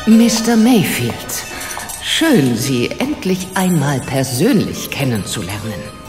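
A woman's voice: a bass voice, big pitch swings, slow pace, reverberant, in a large hall, over music, heavily acted. A woman playing a polite, determined investigator in a German radio play, courteous and direct. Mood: courteous, probing.

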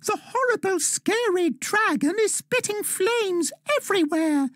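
A tiny, excitable male elf squeaks his lines far above any normal speaking voice. He calls out urgently and brightly, like a storybook sidekick. The delivery is slow and clear, recorded close and dry. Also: no room sound, full character voice.

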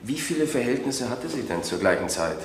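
Actor as blunt interrogator in reverberant room. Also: male voice, mid-range voice, very animated, a little fast, close to an everyday voice. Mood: probing. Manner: terse, questioning. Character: blunt, probing male police interrogator. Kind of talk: German crime radio drama acting. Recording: echoey, reverberant, noisy old recording.

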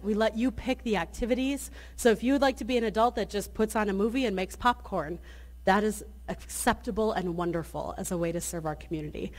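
A woman pastor in her thirties speaks at a church lectern, inviting the congregation along in a friendly, plain voice. She starts over often as she talks, and the lectern microphone picks her up in the sanctuary. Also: mid-range voice. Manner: friendly, inviting, with many restarts. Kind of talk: church announcements and benediction.

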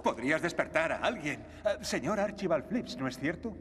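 A calm refined detective. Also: male voice, on the high side, fairly quick, slightly rough, heavily acted. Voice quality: composed, precise, correcting.

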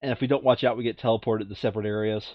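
Expressive man playing a board game, dull audio. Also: lowish voice, very fast, no echo. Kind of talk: casual game playthrough, reading card text aloud.

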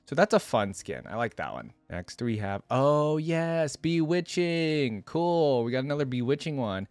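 A man's voice: mid-range voice, big pitch swings, a little slow, darkish tone, no echo. A young adult man giving casual, chatty reaction commentary, thinking aloud and sharing his opinions as host. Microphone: close desktop microphone, headset on.

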